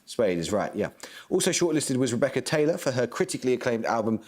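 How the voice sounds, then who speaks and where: A man runs a quiz show, reading each question in a brisk, formal way. His voice sits in an ordinary middle pitch. Every word is precise and measured. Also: very animated, darkish tone. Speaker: quizmaster.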